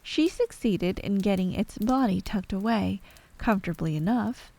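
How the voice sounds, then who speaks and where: A woman's voice: medium pitch, lively intonation, normal speed, vocal fry, mellow. A woman storyteller reading a scripted bedtime story in an ASMR narration style.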